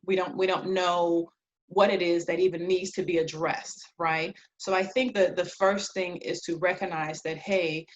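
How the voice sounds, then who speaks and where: A woman in her thirties from Liberia answers interview questions conversationally as a guest, reflective and halting, often pausing between phrases. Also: on the low side, muffled recording. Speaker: interview guest, clinical social worker.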